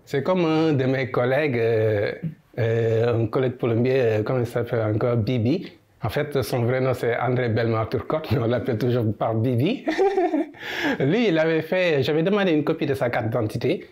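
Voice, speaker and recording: Man, mid-pitch, anecdotal interview storyteller, animated quotes. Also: normal speed. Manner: lively storyteller who acts out quoted lines. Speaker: immigrant interviewee.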